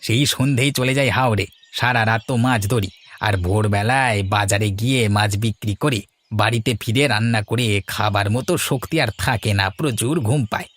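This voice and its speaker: A man's voice: mid-range voice, brisk, fairly bright tone, lightly acted. A man playing a poor, hardworking, world-weary village fisherman in a cartoon fairy tale. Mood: resigned.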